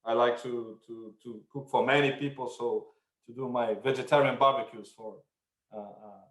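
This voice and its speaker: A man's voice: medium pitch, steady tone, normal speed, a bit bright, reverberant, poor audio. A man with a Brazilian accent, introducing himself on a panel over a video call, self-deprecating, with small restarts.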